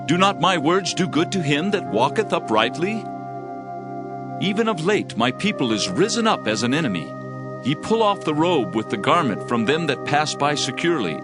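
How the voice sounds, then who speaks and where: A man playing a grave Hebrew prophet who proclaims judgment and lament in solemn, declamatory scripture phrasing for a dramatized audio Bible. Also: fairly high pitch. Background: background music.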